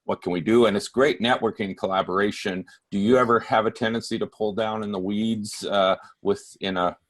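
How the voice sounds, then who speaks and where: A man's voice: lowish voice, fairly even intonation, a little fast. An American man talking informally on an online conference call as a participant. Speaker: task force member asking a question. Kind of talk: informal discussion on a group call. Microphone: video call microphone.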